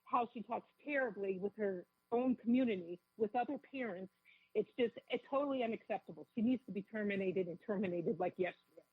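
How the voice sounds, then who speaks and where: A woman with a cold and a raspy voice, calling in as a radio guest and speaking fervently and quickly. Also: medium pitch, no room sound. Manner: fervent, rapid, repeats herself. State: has a cold. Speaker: caller guest. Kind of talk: phone-in talk radio interview. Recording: tinny phone line.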